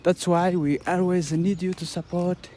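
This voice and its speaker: A Rwandan man, a native Kinyarwanda speaker, talking to viewers as a content creator in a casual vlog, halting and pleading with many restarts. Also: sits high, fairly even intonation, warm tone, a little hiss or hum. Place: outdoors by a road with passing buses.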